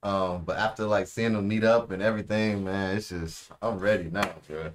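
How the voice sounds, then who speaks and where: A man's voice: lowish voice, fairly even intonation, normal speed. A young man co-hosting an anime reaction show, chatting in a laid-back, slangy way. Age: young adult. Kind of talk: casual reaction chat. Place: small indoor room, seated.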